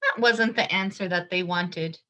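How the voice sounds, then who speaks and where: A woman's voice: on the low side, unhurried, sharp tone. A woman reading a picture book aloud to young children, explaining things simply and emphatically and asking her listeners questions.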